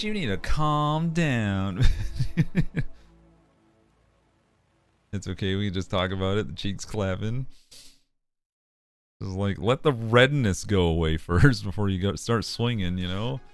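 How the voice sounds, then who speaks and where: A man chatting casually on a livestream, joking loosely and laughing often. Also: lowish voice. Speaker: streamer.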